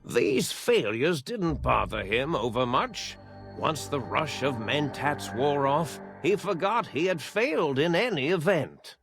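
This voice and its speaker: A man narrating a video game cinematic as a grave storyteller, speaking solemnly and deliberately with weighty pauses. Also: a little high, very animated, a bit bright, heavily acted. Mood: wry, measured. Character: grave storyteller recounting a post-war science legend. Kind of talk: scripted narration, measured storytelling. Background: music behind the voice.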